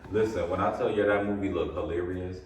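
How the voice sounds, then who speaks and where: Deep-voiced man, echoey and distant. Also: a little fast. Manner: animated storyteller, laughs often. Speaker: podcast host. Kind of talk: casual chat. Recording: echoey room from far off, faint noise.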